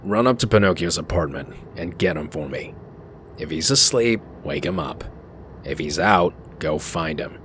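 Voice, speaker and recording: A man playing a gruff, commanding werewolf detective in a comic dub, curt and threatening, with a gravelly voice. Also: sits low, expressive, a little slow, a bit bright, a little hiss or hum. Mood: brisk, commanding.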